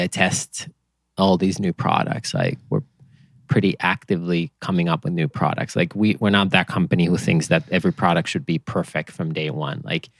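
A very low-pitched man with a Finnish accent, talking loosely and easily as a podcast guest. His speech wanders with small restarts and fillers. The sound is dry and close, with no room around it. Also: no room sound. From Finland. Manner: relaxed, rambling with fillers and restarts. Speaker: company founder, podcast guest. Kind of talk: casual interview chat.

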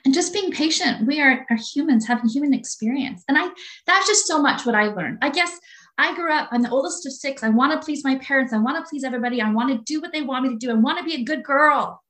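Earnest woman in her late forties, higher voice. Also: quick pace, fairly bright tone. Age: 40s. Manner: earnest, heartfelt, repeats phrases. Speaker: guest. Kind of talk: faith-based conversation.

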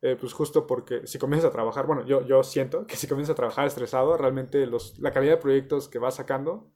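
Young guy with a mellow voice. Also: medium pitch, steady tone, quick pace. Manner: easygoing storyteller, laughs often. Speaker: architecture student. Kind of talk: casual group chat.

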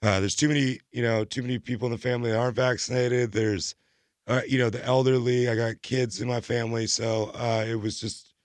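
A young American man with a hoarse voice, chatting casually as a podcast co-host in a rambling, slangy way. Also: mid-range voice, little pitch movement, a little fast, no room sound. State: hoarse, recovering from illness. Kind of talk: casual chat.

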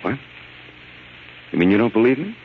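An actor playing an earnest lawyer husband with a hesitant, melodramatic delivery in 1940s radio drama style. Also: male voice, mid-range voice, sing-song, normal speed, a little hiss or hum. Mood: nervous, evasive. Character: smooth-talking lawyer husband feigning worried innocence. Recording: dull old radio broadcast.

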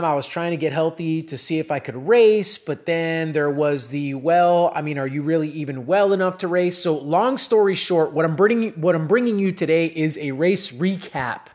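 A man's voice: on the high side, darkish tone. A man in his late thirties hosting a solo podcast, talking casually and rambling with many fillers and restarts. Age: 30s. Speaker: podcast host and cycling coach.

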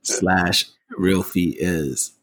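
A man's voice: low-pitched, steady tone, extremely slow, fairly bright tone. A man co-hosting a podcast in casual banter, brash, teasing and freely profane. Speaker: podcast co-host.

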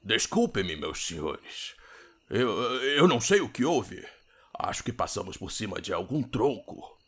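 A man's voice: lowish voice, very animated, unhurried, fairly bright tone, husky, exaggerated voice. A man with a Brazilian accent playing a deferential, apologetic coachman who speaks formally in a period audio drama. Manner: servile, formal, hesitant.

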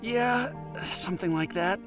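An actor playing a broken, self-doubting man in his late twenties, halting and pleading, in an audio drama. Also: mid-range voice, over music, lightly acted. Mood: defeated. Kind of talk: scripted audio drama acting.

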